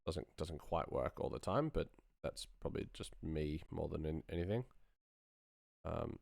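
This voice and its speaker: A man's voice: rumbling low, measured pace, creaky, very noisy. A man giving a casual live-stream tutorial as a sound designer, thinking aloud while he works.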